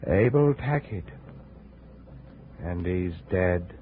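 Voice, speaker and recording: A man acting a grim figure in a 1950s adventure radio drama, with a slow, dramatic delivery. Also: sits low, expressive, exaggerated voice. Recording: tinny, noisy vintage radio recording.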